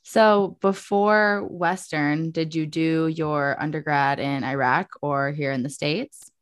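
A woman's voice: low-pitched, steady tone, takes it slowly, smooth, no echo, studio quality. A young woman hosting a podcast, asking upbeat, friendly questions in a casual chat. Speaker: podcast host.

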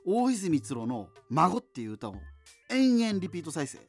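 A man's voice: high-pitched, big pitch swings, moderate pace, faint music behind, full character voice. A man playing an amateur solo radio host in a doomed future, chatting in a rambling, self-interrupting way in a radio drama. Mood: chatty, rambling. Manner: rambling, self-interrupting, digressive, casual asides. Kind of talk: conversational radio monologue in a scripted radio drama.

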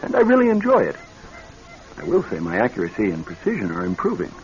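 An actor in a radio drama playing a nervous young married executive troubled by bad dreams, speaking in a halting, earnest way. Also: male voice, fairly low pitch, wildly swooping pitch. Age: young adult. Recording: dull band-limited old radio recording.

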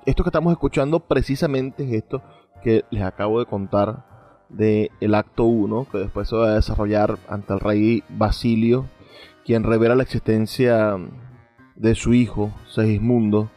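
A man's voice: medium pitch, dark tone. A man presenting a literary radio programme. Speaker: radio host. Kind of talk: literary radio commentary and scripted promo.

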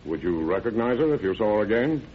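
On a dull, slightly hissy old radio recording, a methodical sheriff. His low voice is firm, brisk and sure of itself. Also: sing-song. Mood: businesslike. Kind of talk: old-time radio drama acting.

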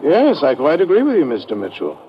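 Muffled old radio serial: a proper, genial male doctor, mid-pitched with lively swoops. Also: brisk. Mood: polite. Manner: polite, proper, effusive. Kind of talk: old-time radio adventure drama acting. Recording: dull old radio recording, faint noise.

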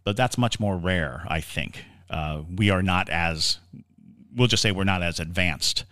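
A man with a deep, low voice talks as a podcast co-host. He explains ideas in a musing, conversational way, halting and repeating words as he works through a thought. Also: a bit bright. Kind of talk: conversational paranormal Q&A discussion.

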